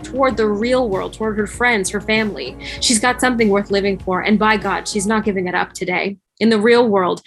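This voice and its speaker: Casting: female narrator for screenplay stage directions, mid-pitched voice, faint music underneath, video-call recording. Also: fairly bright tone. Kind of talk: scripted narration of a fan table read.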